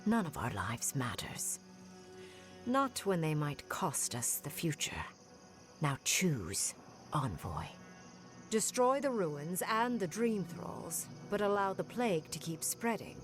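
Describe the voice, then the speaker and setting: An actress playing a commanding, coldly resolute female zealot in a fantasy game, measured and authoritative. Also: fairly low pitch, very animated, soft background music. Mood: stern, urging. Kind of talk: fantasy game cutscene acting.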